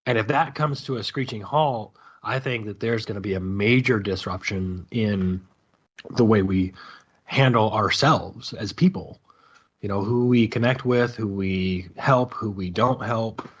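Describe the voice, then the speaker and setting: Male podcast guest, low husky voice, halting opinionated chat, muffled lo-fi audio. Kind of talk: casual opinionated discussion. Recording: dull lo-fi call audio.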